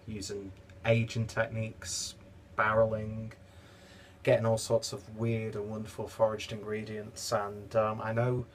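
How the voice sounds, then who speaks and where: A young man talks to his webcam from a home kitchen, his lowish voice stuffy and congested. He rambles on chattily with plenty of fillers. A faint hiss sits under the recording. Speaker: beer reviewer. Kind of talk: casual vlog review.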